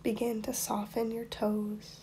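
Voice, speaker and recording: Female meditation guide, higher-pitched with creaky edges, soft and breathy, soothing delivery, often close to a whisper. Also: level tone, slight background noise. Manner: soothing, unhurried instruction with long pauses.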